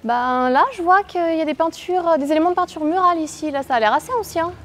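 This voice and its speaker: A woman's voice: sits high, fast, a little hiss or hum. A woman presenter talking casually and spontaneously as she explores and asks questions. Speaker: presenter on a discovery challenge.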